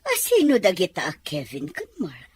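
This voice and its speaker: A woman's voice: lowish voice, wildly swooping pitch, normal speed. A woman playing an inquisitive middle-aged mother in a radio serial. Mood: questioning. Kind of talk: Philippine radio serial acting.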